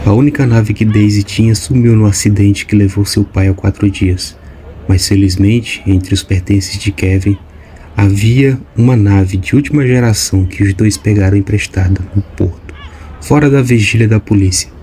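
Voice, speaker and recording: A man giving scripted audiobook narration in an even, measured way. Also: lowish voice, a little hiss or hum.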